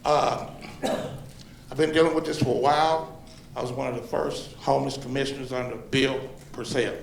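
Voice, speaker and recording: A man's voice: mid-range voice, fairly even intonation, moderate pace, creaky. A man giving earnest, plain-spoken public comment at a community meeting. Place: large room at a public library. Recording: echoey hall, faint room noise.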